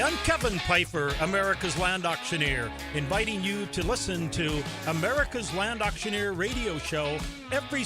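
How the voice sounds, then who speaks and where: A man reading a scripted promo for a radio show in a promotional tone. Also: sits high. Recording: promo with music bed.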